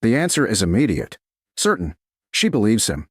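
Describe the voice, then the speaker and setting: Husky man narrating romance with swooping intonation. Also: lowish voice, very animated, no echo, clean studio sound. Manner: measured, deliberate, short weighted phrases. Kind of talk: slow dramatic scripted audiobook narration.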